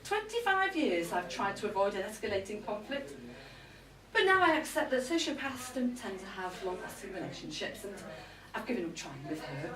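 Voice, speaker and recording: A woman's voice: medium pitch, natural voice. A woman playing an irritable, aggrieved middle-aged wife in a live comic monologue, complaining indignantly. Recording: roomy live event, distant mic.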